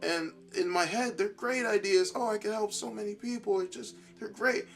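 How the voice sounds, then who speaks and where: A man hosting a solo podcast in a tired, worn voice, thinking aloud casually and haltingly. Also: sits high, vocal fry, faint music behind. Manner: halting, rambling, self-reflective. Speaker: podcast host.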